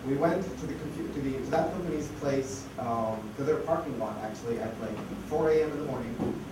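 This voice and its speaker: A man's voice: medium pitch, a little fast. Man, losing his voice, casual talk with many restarts, distant mic, noisy background. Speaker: conference speaker. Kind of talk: conference talk. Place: conference hall. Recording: echoey hall, far mic, noisy.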